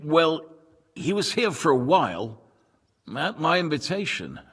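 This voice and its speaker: A courteous, cautious nobleman of a medieval fortress in a game cutscene. His husky voice swings expressively in pitch as he picks his words formally and guardedly. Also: very animated, unhurried, a bit bright, heavily acted. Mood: guarded, diplomatic. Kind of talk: dramatic video game cutscene acting.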